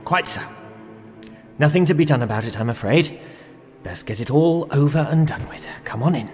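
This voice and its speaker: An actor playing an older, fussy and unhinged English librarian in an audio drama, formal and officious in manner. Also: male voice, fairly high pitch, expressive, moderate pace, darkish tone, lightly acted. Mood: officious, ominous. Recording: long hall reverb, music underneath.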